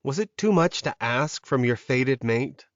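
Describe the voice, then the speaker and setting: A man's voice: medium pitch, animated, unhurried, dry recording, dull audio. Very dry-sounding male audiobook narrator. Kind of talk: scripted dramatic fiction narration.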